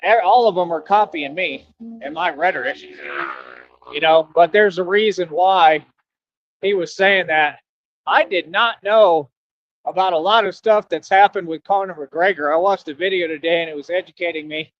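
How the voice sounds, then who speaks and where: A man's voice: sits high, moderate pace. A Texan man rambling casually in a self-recorded stream monologue, filling his talk with "you know what I mean". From Texas. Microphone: cheap headphones with mic. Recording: dry, dull lo-fi sound.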